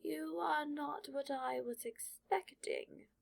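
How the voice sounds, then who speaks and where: A woman voicing an ancient telepathic sorting hat, speaking slowly and deliberately in an audiobook reading. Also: sits high, vocal fry, voice pushed up, full character voice. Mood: musing. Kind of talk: audiobook character voice.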